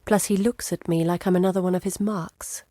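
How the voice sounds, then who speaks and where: A woman playing an educated, curious, guarded map-maker in exile in a video game, speaking in a measured, earnest, articulate way. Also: lowish voice, fairly even intonation, dark tone, dry recording, studio quality, close to an everyday voice. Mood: wry, skeptical. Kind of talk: scripted video game character dialogue. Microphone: close studio mic.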